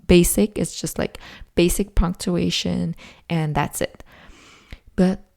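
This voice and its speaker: A French Canadian woman talks alone into the mic for her podcast, chatty and earnest, with a lot of "like" fillers. Her voice sits low and has a gravelly fry to it. The tone is dark and mellow. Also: steady tone, slow. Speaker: podcast host. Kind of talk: solo podcast monologue. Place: home office.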